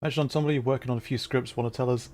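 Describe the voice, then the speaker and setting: A man's voice: medium pitch, fairly even intonation, racing, darkish tone, a little hiss or hum. A man livestreaming a game with casual commentary and chat, half-muttering to himself as he plays. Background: game music.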